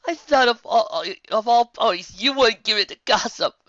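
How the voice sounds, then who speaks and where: A man voicing a weary, exasperated young female unicorn pony with a halting, dramatic delivery. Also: extremely high pitch, animated, fairly bright tone, no echo, dull audio, voice pushed up. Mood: distressed, pleading.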